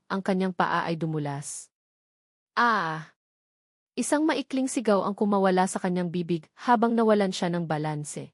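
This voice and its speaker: A woman narrates a dramatic story in a scripted storytelling style. Her pitch sits in the middle range for a woman. The sound is dry, with almost no room in it. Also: no echo.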